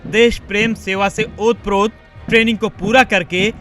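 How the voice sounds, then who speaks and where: A man's voice: high-pitched, fairly even intonation, racing, a bit bright, faint music behind. A man reading a scripted news voice-over as the presenter.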